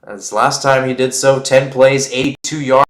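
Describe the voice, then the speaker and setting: A man's voice: medium pitch, fairly even intonation, moderate pace. Man calling football in an echoey room. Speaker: radio-style football play-by-play commentator. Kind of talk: live sports commentary. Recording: roomy, echoing sound.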